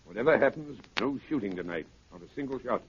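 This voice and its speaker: An actor playing a cold, commanding criminal mastermind in 1930s radio style, deliberate and menacingly polite. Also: male voice, mid-range voice, lively intonation, close to an everyday voice. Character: cold commanding male criminal mastermind, giving orders to henchmen. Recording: noisy, muffled 1930s radio recording with clicks.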